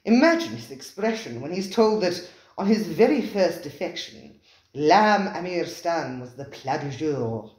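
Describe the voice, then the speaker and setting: A reader voicing a worldly, suave man who speaks in a measured, knowing way. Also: mid-range voice, sing-song, a little mellow, pitched above the performer's own voice. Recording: roomy echoing room.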